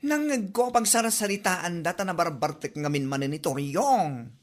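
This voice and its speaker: A woman's voice: lowish voice, lively intonation, normal speed, lightly acted. A woman with an Ilocano accent voicing a gossipy neighbor who chatters about others. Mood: gossiping.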